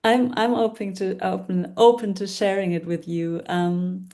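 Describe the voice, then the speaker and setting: A woman with a German accent talks as a guest in an online workshop, her mellow, soft-edged voice coming over a video call. She speaks hesitantly, restarting her phrases and searching for words in a language that is not her first. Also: medium pitch, little pitch movement, a little slow. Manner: hesitant, with many restarts and fillers. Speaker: workshop guest, decluttering coach.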